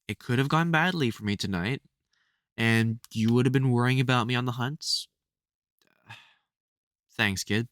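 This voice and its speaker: A man playing a soft-hearted, protective vampire hunter mentor in an intimate audio roleplay, speaking fondly and hesitantly with wry exasperation. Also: mid-range voice, measured pace, pauses often, no room sound, clean studio sound, close to an everyday voice. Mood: touched, fond. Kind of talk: intimate audio roleplay acting addressed to the listener.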